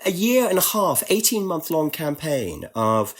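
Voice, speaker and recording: A man's voice: fairly high pitch, sing-song, a little slow, slightly rough. A middle-aged English man from Devon, chatting as a podcast guest with dry humour and frequent laughs. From Devon, southwest England. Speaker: podcast guest, journalist and author. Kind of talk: lively conversational interview.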